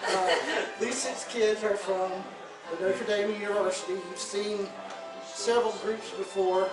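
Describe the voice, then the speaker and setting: A man's voice: high-pitched, level tone, a little slow. A man hosting a casual, impromptu interview in a coffee shop, rambling with many fillers and restarts. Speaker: coffee shop owner and show host. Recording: echoey room, mic far off, music playing. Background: music.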